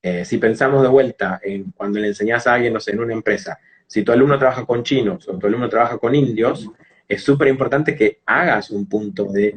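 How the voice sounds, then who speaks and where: Male interviewee, Rioplatense Argentine teacher. Slightly low, husky voice, didactic explanatory delivery. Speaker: English teacher and phonetics specialist being interviewed.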